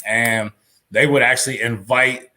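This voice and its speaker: A man as a guest on a live talk show, giving advice in a relaxed storytelling way, full of "you know.". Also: medium pitch, measured pace. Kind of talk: casual advice chat.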